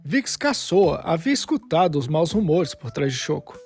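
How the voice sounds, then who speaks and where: Male narrator for dramatic fiction, high register, scripted storytelling, light music underneath. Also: sing-song, moderate pace, a little mellow.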